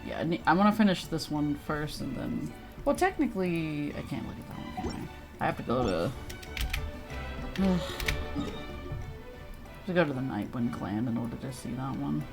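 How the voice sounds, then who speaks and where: Giggly woman streaming with lively pitch. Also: moderate pace, creaky, long pauses, over music. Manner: giggly, reacts aloud while reading. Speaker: video game streamer. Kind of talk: casual livestream chat with reading aloud of game text.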